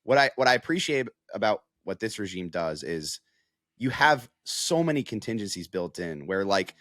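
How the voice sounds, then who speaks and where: A man's voice: lowish voice, very animated, normal speed, fairly bright tone, no echo, clean studio sound. A man giving casual sports analysis, explaining his points step by step in a conversational way.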